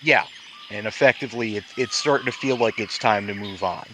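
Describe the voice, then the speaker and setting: Low-voiced man running a tabletop game. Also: a little fast, sharp tone, slight background noise. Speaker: game master and host. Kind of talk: narrating a tabletop roleplaying game.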